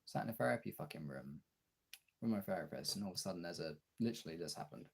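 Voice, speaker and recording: A man chatting candidly on a livestream in a halting, husky, gravelly voice, swearing casually, recorded on a phone. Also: deep voice, lively intonation, slight background noise. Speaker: live streamer and voice actor. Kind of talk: casual confessional livestream chat.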